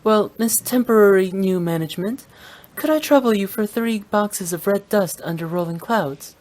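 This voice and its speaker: A woman's voice: medium pitch, expressive, moderate pace, darkish tone, close to an everyday voice. Theatrical, teasing young woman voiced by an actress. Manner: theatrical, teasing, mock-formal. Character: playful, theatrical, knowing young female funeral director. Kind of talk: video game voice acting.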